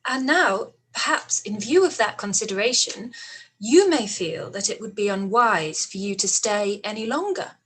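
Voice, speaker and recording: A woman playing a sharp-witted, defiant young gentlewoman in a period radio play, tart and dryly sarcastic. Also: mid-range voice, lively intonation, normal speed, husky, poor audio. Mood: cool sarcasm.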